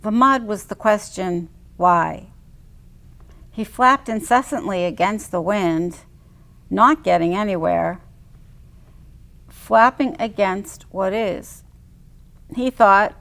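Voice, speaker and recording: An older woman with a mid-pitched voice speaks very slowly. There is a clear gravelly fry in her tone. She sounds like a co-host sharing poetry. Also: expressive, a bit bright. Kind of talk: poetry reading and casual chat.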